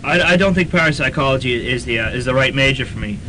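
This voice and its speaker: Man acting a bright-voiced, stammering young investigator. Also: medium pitch, lightly acted. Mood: troubled, regretful. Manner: halting, stammering. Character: earnest, troubled male college paranormal investigator. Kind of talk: student radio drama acting. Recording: slightly noisy old radio tape.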